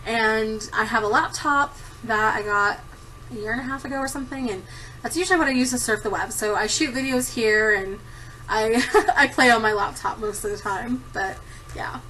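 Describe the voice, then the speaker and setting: Bright, chatty woman in her thirties. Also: on the high side, normal speed, distant mic, slight background noise, low-quality recording. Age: 30s. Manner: chatty, giggly, rambling with many ums. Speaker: vlogger answering a tag. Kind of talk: casual chat to camera. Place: home room at a desktop computer. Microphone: computer camera mic.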